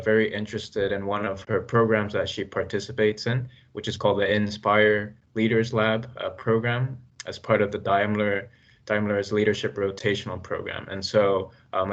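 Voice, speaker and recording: A man's voice: on the low side, a bit bright. Hesitant male host, lo-fi sound. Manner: hesitant, many "uh" and "um". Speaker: podcast host. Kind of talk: interview introduction. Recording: dull lo-fi audio.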